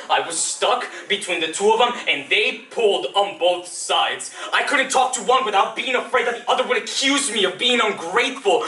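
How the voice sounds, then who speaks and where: A man's voice: fairly high pitch, bright, forceful tone, voice pushed up, full character voice. A man playing a troubled, wistful adult who looks back on an unhappy childhood in a hesitant, confessional stage monologue. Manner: hesitant, confessional, with heavy pauses. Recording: distant mic, roomy echo, faint noise.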